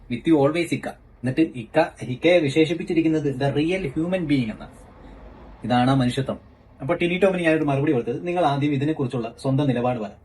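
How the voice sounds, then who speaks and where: Male, Kerala accent, mid pitch, quick confrontational delivery, sarcastic, faintly noisy ambient recording. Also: darkish tone. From Kerala, India. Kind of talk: casual critical commentary and phone argument.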